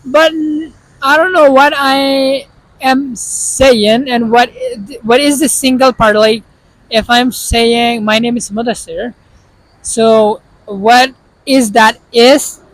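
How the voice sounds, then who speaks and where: A man's voice: very high-pitched, fairly even intonation, takes it slowly, no echo, low-quality recording. Earnest young man, Pakistani accent. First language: Urdu. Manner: earnest, explaining directly to the viewer. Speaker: vlogger. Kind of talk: casual advice to camera.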